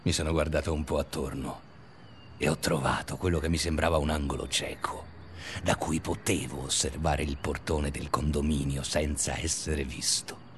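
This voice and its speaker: An actor playing an obsessive, bitter stalker confessing in a brooding, intimate radio drama monologue. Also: male voice, rumbling low, flat delivery, moderate pace, slight background noise. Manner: brooding, intimate narration with agitated surges. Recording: music under the voice. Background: music.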